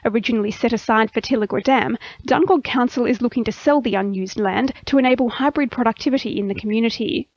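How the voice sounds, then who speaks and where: A woman's voice: on the high side, quick pace, hardly any pauses, darkish tone, dry recording, dull audio. An Australian woman reading a scripted radio news bulletin as the station's news reader. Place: dry radio studio.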